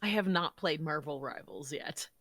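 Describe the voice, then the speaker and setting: A woman chatting casually as a podcast guest, expressive and effusive. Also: low voice, normal speed, no echo, studio quality. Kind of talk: casual conversation.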